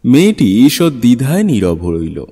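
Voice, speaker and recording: A man narrating a literary story in a measured, expressive, dramatic style. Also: mid-range voice. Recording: long studio reverb, music underneath. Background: music.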